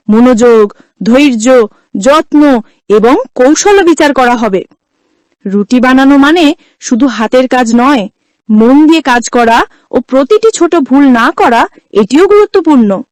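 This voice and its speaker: Woman playing a firm, high-voiced mother-in-law. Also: lively intonation, unhurried, long pauses, no room sound, poor audio. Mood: firm, commanding. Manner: firm, instructive. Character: fair-minded, authoritative older mother-in-law setting contest rules.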